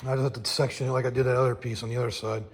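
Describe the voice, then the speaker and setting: A hoarse man who is losing his voice after being sick, talking casually through a repair job in his home garage, rambling with lots of fillers. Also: medium pitch, little pitch movement, rapid-fire, a little hiss or hum. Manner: rambling, easygoing, many fillers. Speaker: host of a home auto repair channel. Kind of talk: casual talk-through of a shop project.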